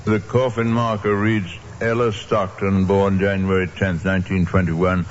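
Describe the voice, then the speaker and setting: A man playing a formal, matter-of-fact cemetery records clerk in an old-time radio drama, speaking in a measured, solemn way. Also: deep voice, level tone, lo-fi sound, a little hiss or hum. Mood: solemn, factual.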